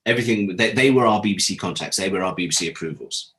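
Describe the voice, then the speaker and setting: Deep-voiced man telling candid anecdotes. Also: fast talker, fairly bright tone. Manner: candid, acts out quoted conversations in anecdotes. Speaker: interview guest, former audio drama producer. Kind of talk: animated anecdotal interview talk.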